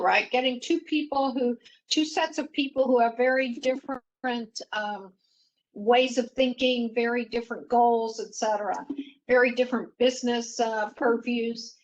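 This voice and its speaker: A middle-aged woman from Mexico, a native Spanish speaker, speaking as a panelist in a thoughtful, measured way with restarts. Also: a little high, slight background noise, low-quality recording. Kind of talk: panel discussion.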